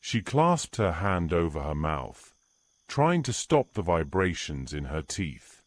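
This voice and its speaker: A man reading scripted story narration in a measured storytelling manner. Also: low-pitched, big pitch swings, dry recording.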